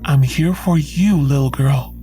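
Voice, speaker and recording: Woman as red dog spirit, low voice, eerie and possessive, slow calm delivery, music bed. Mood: ominous. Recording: music behind.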